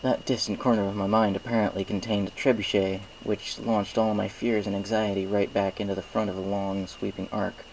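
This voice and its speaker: A man with a fairly low voice narrates a piece of fiction. He reads from a script as an amateur storyteller, with faint noise in the home recording. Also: level tone, a little fast, creaky, few pauses, a little mellow, rough recording. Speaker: fan fiction reader.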